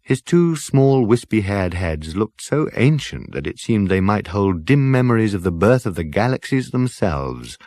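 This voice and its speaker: A man's voice: on the low side, very animated, normal speed, hardly any pauses, darkish tone, no echo, clean studio sound. A man reading a comic science-fiction audiobook as a dramatic scripted narration.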